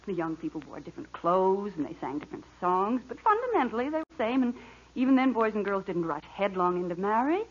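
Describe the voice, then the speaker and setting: A woman's voice: mid-range voice, very animated, quick pace, slightly rough, muffled recording. An actress playing a patient, dryly witty middle-aged mother in a 1940s radio comedy on a vintage recording. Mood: patient, reasoning.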